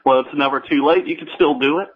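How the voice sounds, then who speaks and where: A man giving casual, modest, halting answers as a radio interview guest, on a line with fluctuating volume. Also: on the high side, highs cut off. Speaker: guest, audio drama creator.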